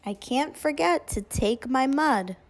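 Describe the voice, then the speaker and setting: Female teacher, fairly high voice, very lively intonation, deliberate child-directed picture book reading. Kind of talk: expressive picture book read-aloud.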